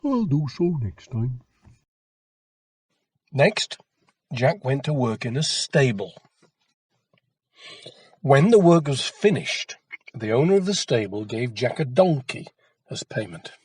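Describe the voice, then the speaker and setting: Male folktale narrator for children: measured, expressive, husky, recorded close and dry. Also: medium pitch, dry recording. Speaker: storyteller.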